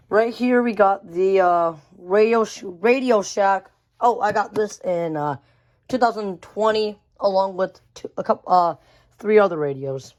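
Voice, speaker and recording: A woman giving a casual, unscripted show-and-tell of a collection, rambling and often repeating phrases. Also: medium pitch. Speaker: radio collector showing a collection.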